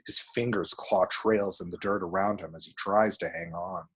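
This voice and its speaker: A man's voice: low voice, fairly even intonation, normal speed, a bit bright, slightly rough. A man reading screenplay action descriptions aloud as dramatic, vivid narration at a table read.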